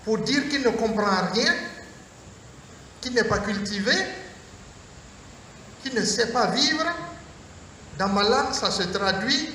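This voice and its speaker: Man priest, high voice, slow measured sermon phrasing, heard from afar in a reverberant hall. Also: bright, forceful tone. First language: Mooré. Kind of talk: Lenten lecture sermon. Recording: echoey hall from far off, faint noise.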